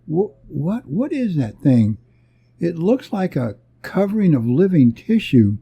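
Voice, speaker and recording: A man's voice: fairly high pitch, big pitch swings, measured pace, vocal fry, mellow, breathy edge, lo-fi sound, heavily acted. A man voicing a commanding, wary space captain who gives urgent orders and warnings in an adventure story. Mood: concerned.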